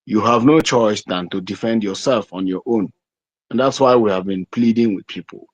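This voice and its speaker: A Nigerian man giving a deliberate, emphatic political address as an activist speaker, repeating phrases over an online audio call. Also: on the low side, dry recording. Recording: dry, dull lo-fi internet call audio.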